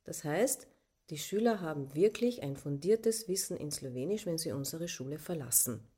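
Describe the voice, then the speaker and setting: A Carinthian woman. Her voice is mellow and sits a little low. She talks thoughtfully, pausing and restarting often. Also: lively intonation, slightly rough. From Carinthia, Austria. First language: Slovene. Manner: thoughtful, many fillers and restarts. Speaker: teacher, guest. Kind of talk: personal account in interview.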